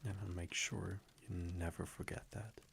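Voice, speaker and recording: A man playing a tender, teasing vampire boyfriend in an intimate ASMR roleplay, speaking softly and affectionately with a breathy voice that dips toward whispers. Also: deep voice, fairly even intonation, heavily acted. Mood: tender, grateful. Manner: soft, unhurried, affectionate, gently teasing. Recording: faint background noise, crackling fireplace ambience.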